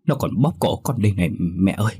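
A man's voice: sits low, animated, quick pace, mellow, slightly rough, exaggerated voice. A man voicing a frightened young woman who stammers.

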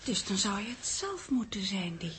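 Husky, expressive anxious secretary. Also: female voice, mid-range voice, moderate pace. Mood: anxious wondering. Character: anxious, wondering female secretary, deferential and earnest. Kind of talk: 1960s Dutch radio play acting.

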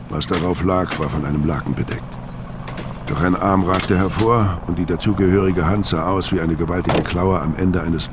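Crime radio play: male actor as laconic, weary outlaw narrator, very low voice, clearly audible street noise behind him. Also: close to an everyday voice. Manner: terse, laconic. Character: gruff, world-weary hard-boiled male criminal narrating his story. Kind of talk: first-person crime radio drama narration and dialogue.

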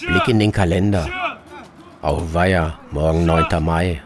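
A man's voice: deep voice, unhurried, crowd noise. A man reading scripted first-person radio narration in terse, fragmentary phrases. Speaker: narrator of a radio travel piece. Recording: music behind the voice. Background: music.

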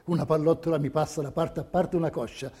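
A solemn stage narrator of wartime history. His voice is fairly high for a man yet soft-edged and mellow, with a grave theatrical delivery. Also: steady tone, quick pace, slightly rough.

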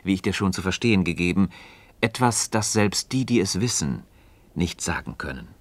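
An actor playing a calm, cryptic Freemason in a radio dialogue, speaking formally and deliberately. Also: male voice, low voice, dry recording. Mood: earnest, assured. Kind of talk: German radio play dialogue.

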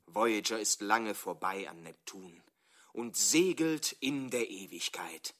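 A man's voice: lowish voice, lively intonation, slow pace, fairly bright tone. A male actor playing a narrator who reads overblown, romantic fiction in a literary, dramatic style. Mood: romantic grandiose.

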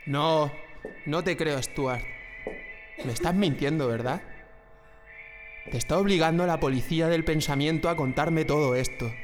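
Man acting intense anxious dissident, highish voice, long reverb. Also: a little slow, long pauses, natural voice. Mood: defiant, accusing. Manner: earnest, questioning, intense. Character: defiant, anxious male dissident who hates the regime. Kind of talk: radio drama acting. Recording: long hall reverb, faint music behind.

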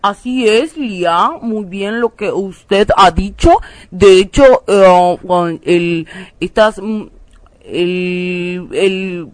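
A woman's voice: medium pitch, very slow, no room sound. A woman playing a French biologist in a radio satire, lecturing formally and hesitantly with a French accent. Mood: solemn lecturing. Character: female French biologist expert, solemn, pseudo-scientific, hesitant. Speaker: interviewed expert guest. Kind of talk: satirical radio theatre interview.

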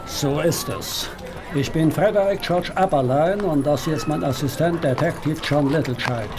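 An actor playing a brusque, commanding Victorian police inspector in a radio play, curt and authoritative. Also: male voice, fairly high pitch, normal speed, crowd noise, close to an everyday voice. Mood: businesslike, grim. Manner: curt, authoritative, hesitates between questions. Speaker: actor in an audio drama. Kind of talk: German radio play acting.

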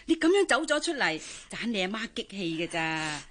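Pushy old-fashioned hong kong cantonese auntie, radio drama, coaxing n sighing, middle pitch. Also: female voice, very animated, normal speed. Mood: anxious coaxing. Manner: coaxing, exclaiming, sighing interjections. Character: pushy, traditional older auntie urging a girl to marry.

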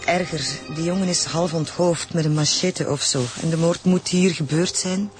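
An actress playing a matter-of-fact, breezy forensic pathologist with a Flemish accent in a radio crime drama. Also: female voice, lowish voice, fairly even intonation, normal speed, darkish tone, faint music behind, close to an everyday voice.

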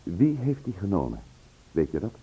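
A man acting in a Dutch radio play as a composed, probing gentleman investigator who speaks with measured care. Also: low voice, big pitch swings, creaky, slightly rough, exaggerated voice. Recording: muffled narrow-band old radio recording.

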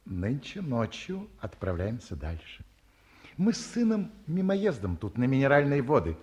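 A man acting in a radio play as an eminent elderly academician, genteel and brisk, with confident, wry self-mockery. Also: mid-range voice, huge pitch swings, darkish tone, highs cut off. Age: older.

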